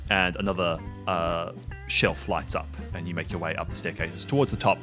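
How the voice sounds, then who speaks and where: Casting: low-voiced man as game master, casual halting improvised narration, music playing underneath. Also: fairly even intonation. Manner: halting, improvising item descriptions aloud. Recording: music behind the voice.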